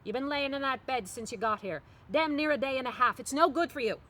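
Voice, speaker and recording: A woman voicing a gruff, bossy, nagging mother scolding a grown child in a novel reading. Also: high voice, brisk, a bit bright, pitched above the performer's own voice. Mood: brusque scolding. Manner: brusque, nagging. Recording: faint outdoor background noise.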